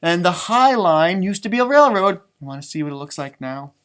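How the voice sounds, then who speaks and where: A man with a fairly light, high-set voice leads a storytime for young children. He is chatty and enthusiastic, speaks directly to his listeners, and draws words out for emphasis in the casual way of an elementary school teacher. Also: very animated, a little fast, a bit bright, clear, resonant tone. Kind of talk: casual storytime read-aloud talk for children.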